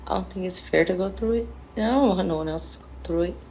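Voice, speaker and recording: A grieving middle-aged woman being interviewed, speaking plainly and haltingly about painful things. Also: lowish voice, darkish tone, highs cut off, slight background noise. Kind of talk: emotional television interview.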